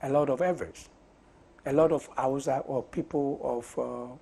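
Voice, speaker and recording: A Ghanaian man with a husky voice and Ga as his mother tongue, answering interview questions in deliberate short phrases. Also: medium pitch, fairly even intonation, mellow. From Osu, Accra, Ghana. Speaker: parliamentary candidate being interviewed. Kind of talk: conversational political interview.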